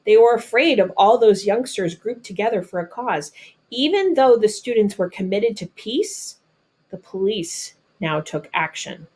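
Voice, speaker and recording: A woman's voice: mid-range voice, expressive, darkish tone, husky. Woman reading a picture book aloud. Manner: deliberate, explains simply as if to children. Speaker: storybook reader. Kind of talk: read-aloud of a children's picture book with explanatory asides.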